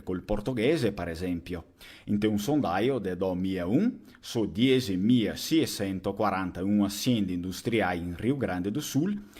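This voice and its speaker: A man from southern Brazil with a Brazilian Venetian accent, giving a scripted explanation of his region in a husky voice. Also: fairly low pitch, a little mellow. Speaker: guest cartoon character presenting his region.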